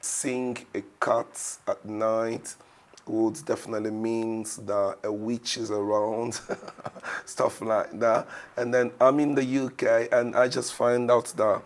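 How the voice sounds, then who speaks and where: A man from Congo reflecting on his upbringing in an unhurried, gently amused way. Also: medium pitch, level tone. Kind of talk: reflective interview.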